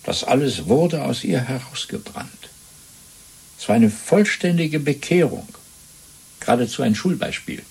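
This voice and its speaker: An actor playing a cold, fanatical interrogator in a radio play, deliberate and patronising, with a gravelly voice that often sinks to a whisper. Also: male voice, medium pitch, very animated, full character voice. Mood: smooth, superior. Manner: deliberate, patronising, swinging between soft and commanding. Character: cold, fanatical male Party interrogator and torturer. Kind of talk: 1970s German radio play acting.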